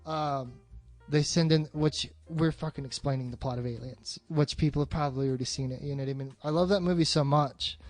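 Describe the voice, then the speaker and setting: A man with a voice pitched a little above average talks in a loose, chatty way. His speech is slangy and full of casual swearing. Faint music plays behind him. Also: fairly even intonation, fairly quick, hardly any pauses. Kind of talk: casual chat about films.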